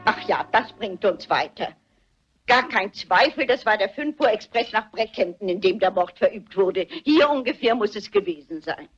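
An actress playing a sharp-witted old English spinster sleuth, brisk, insistent and precise, in a vintage film dub. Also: female voice, mid-range voice, animated, soft background music, lightly acted. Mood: brisk, determined. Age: older. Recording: dull vintage film soundtrack.

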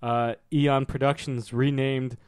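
A man's voice: mid-range voice, level tone, slow, darkish tone, clean tone, no echo. Wisecracking man, dry close sound. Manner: wisecracking, laughs often. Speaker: podcast co-host. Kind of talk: casual film commentary chat.

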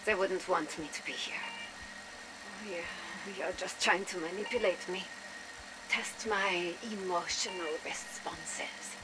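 A woman's voice: lowish voice, slow pace, heavy background noise. An actress playing a defiant, weary young woman held captive in a video game, guarded in the way she talks. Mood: bitter sarcasm. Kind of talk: dramatic video game voice acting.

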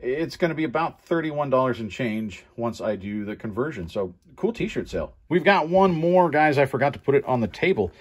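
A man's voice: mid-range voice, sing-song, fairly quick, few pauses, breathy edge. A man giving casual, conversational show-and-tell commentary as an online reseller going through his sales. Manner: informal, conversational.

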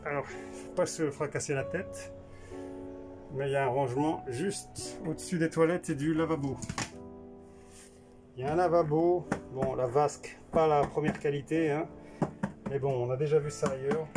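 Man, casual camper-van walkthrough, slightly high voice, thinks aloud with restarts, music clearly behind him. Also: steady tone, normal speed, pauses often. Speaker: vlogger touring a camper van. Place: inside a small camper van at a trade show. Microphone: handheld camera.

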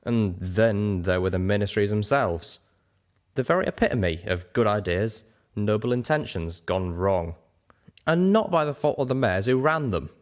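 A man's voice: lowish voice, lively intonation, moderate pace, lightly acted. A man voicing a huge old male dragon in a story reading, earnest and nostalgic, with a reflective, emphatic manner. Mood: passionate, earnest.

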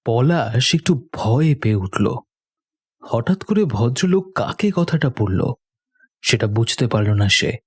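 A man's voice: medium pitch, sing-song, slow pace, husky. A man narrating a scripted horror cartoon story in a dramatic storytelling style. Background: faint music.

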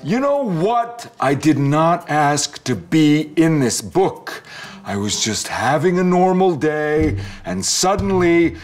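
An indignant alligator from a children's storybook, acted out by a man. He is fussy and exasperated, always protesting, with his pitch pushed well up and his words drawn out. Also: big pitch swings, slow, soft background music, full character voice. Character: indignant, fussy male alligator fed up with the narrator. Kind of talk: storybook character voice.

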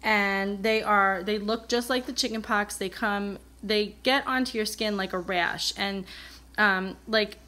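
An American woman talks at a medium pitch, as a vlogger would to her regular viewers. Her delivery is casual and candid, wandering a little as she replays who said what. A mild cold leaves her voice sounding slightly off. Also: steady tone, a little slow, pushed and bright. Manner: candid, rambling, acts out remembered dialogue. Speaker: vlogger, coloring channel host. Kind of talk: casual chatty personal storytelling. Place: indoors at a table, coloring on camera.

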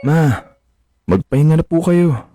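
A man's voice: a little high, normal speed, loud music behind the voice, lightly acted. A man acting a caring, respectful young adult son in a radio drama. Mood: gentle, concerned.

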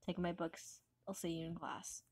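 A woman's voice: lowish voice, flat delivery, moderate pace, slightly rough, no echo. A woman voicing a brash, foul-mouthed teenage schoolgirl in an amateur comic dub. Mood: offhand.

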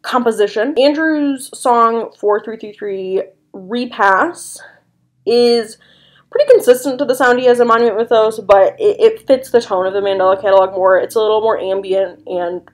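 A woman's voice: on the high side, normal speed. A young woman giving casual, partly scripted commentary as a video essayist indoors. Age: young adult. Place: small furnished room indoors.

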